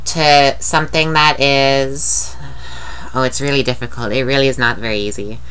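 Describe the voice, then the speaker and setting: A woman's voice: a bass voice, fairly even intonation, moderate pace, fairly bright tone, clean tone, rough recording. A woman with a nasal voice, talking in a rambling, candid video diary and swearing casually. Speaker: vlogger.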